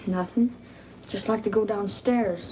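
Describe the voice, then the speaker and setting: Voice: mid-range voice, fairly even intonation, a little slow, slight background noise, lightly acted. A young performer playing a sullen, frightened boy in a 1940s film. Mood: wary, accusing. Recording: dull old film soundtrack with music.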